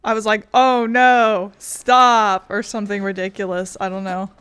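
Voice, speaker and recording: A woman's voice: medium pitch, flat delivery, unhurried, a bit bright, clear, resonant tone. A woman streamer commenting casually on a game, rambling and self-deprecating.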